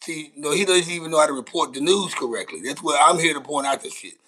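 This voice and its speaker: A man's voice: fairly high pitch, fast, fairly bright tone, rough recording. An American man giving casual livestream commentary, with a blunt, slangy, repetitive way of talking. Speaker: content creator reacting to news clips.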